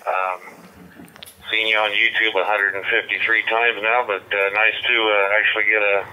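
A man's voice: lowish voice, little pitch movement, moderate pace, pushed and bright, a little hiss or hum, rough recording. An American man chatting casually over a digital two-way radio as an amateur operator. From central Kansas, United States.

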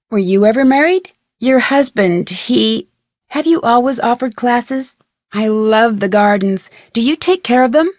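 A woman voicing an inquisitive, persistent young amateur detective in a video game, earnest and clearly articulated. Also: a little high, no echo, studio quality. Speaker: voice actor playing the lead detective. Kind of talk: video game dialogue lines.

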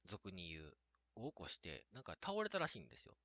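A man talking about himself in a casual way, often halting and restarting his words. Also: sits low, huge pitch swings, pushed and bright, no echo, lo-fi sound. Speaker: scenario writer and narrator. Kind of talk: casual self-talk and introductions.

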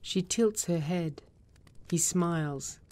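A woman narrating a drama script, reading stage directions in a measured, matter-of-fact way. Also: fairly low pitch, warm tone.

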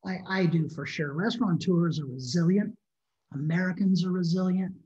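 A man's voice: sits high, fairly even intonation, normal speed, darkish tone, slight background noise. A man in his fifties speaking emphatically as an industry panelist on a video call. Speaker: restaurant industry consultant, panelist. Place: living room at home, indoors. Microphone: computer mic on video call. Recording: dull, echoey video-call audio.

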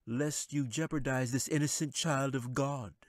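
A man voicing a sly, scheming male wizard who speaks in a slow, gloating, taunting way. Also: mid-range voice, steady tone, no echo, studio quality, pitched above the performer's own voice, close to an everyday voice. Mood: menacing.

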